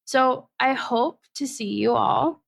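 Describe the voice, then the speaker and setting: A woman hosting her own podcast, talking alone in a thoughtful, measured and personal way. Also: a little high, gravelly, dry recording, studio quality. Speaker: podcast host and facilitator. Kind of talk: reflective solo podcast monologue.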